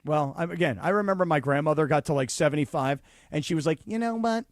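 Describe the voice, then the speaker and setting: A man's voice: a little high, fast, no room sound, studio quality. Sarcastic man in his forties, radio co-host. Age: 40s. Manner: exasperated, sarcastic, mimics others. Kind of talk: sports talk radio banter. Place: radio studio. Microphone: studio microphone.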